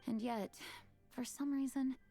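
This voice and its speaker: An earnest, dutiful knight leader. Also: female voice, sits high, fairly even intonation, measured pace, mellow, faint music behind. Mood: thoughtful. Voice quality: clear, formal. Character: dutiful female acting grandmaster, earnest and thoughtful. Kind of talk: game voice acting.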